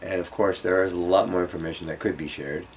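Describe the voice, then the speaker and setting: A man giving an informal guitar lesson, speaking haltingly as he thinks aloud and demonstrates. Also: sits low, fairly even intonation, a little hiss or hum. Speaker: guitar teacher. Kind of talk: informal instructional music lesson. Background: acoustic guitar playing.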